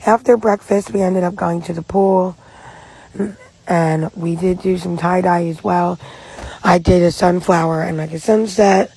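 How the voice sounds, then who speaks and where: A young woman doing a casual, plainly told vlog voice-over with a husky voice. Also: on the low side, steady tone, measured pace, warm tone, poor audio. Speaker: vlogger. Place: voice-over, partly recorded outside.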